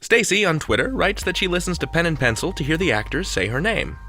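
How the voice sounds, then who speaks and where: A man's voice: on the high side, big pitch swings, fast, bright, forceful tone. A man hosting a podcast in a chatty, comic style, playful and conversational. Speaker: podcast host.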